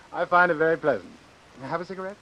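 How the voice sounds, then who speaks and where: Formal, calculating doctor, hissy recording. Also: male voice, fairly high pitch, lively intonation, sharp tone, rough recording. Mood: smooth, businesslike. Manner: formal, precise, measured. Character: cold, calculating male doctor obsessed with his experiment. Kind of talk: vintage suspense radio drama narration and acting. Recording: hissy old radio recording.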